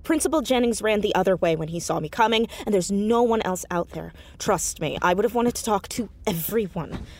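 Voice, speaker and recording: A woman's voice: mid-range voice, lively intonation, a little fast, few pauses, a little mellow. A young woman playing an earnest, stubborn, bookish teenage girl detective in an audio drama, wordy and stammering when anxious. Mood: insistent. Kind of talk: naturalistic audio drama acting.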